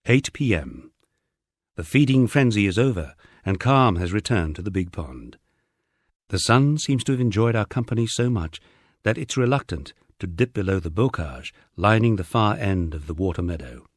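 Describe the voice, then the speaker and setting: Deep-voiced, expressive male audiobook narrator. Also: moderate pace, dry recording, studio quality. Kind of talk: scripted literary narration of a humorous country memoir.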